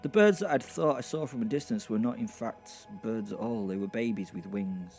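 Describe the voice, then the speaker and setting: A man's voice: lowish voice, moderate pace, a little mellow, faint music behind, close to an everyday voice. A man playing an irreverent, foul-mouthed werewolf who narrates an audio drama with hesitant, rambling, wry asides. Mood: sardonic. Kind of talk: first-person horror comedy audio drama narration.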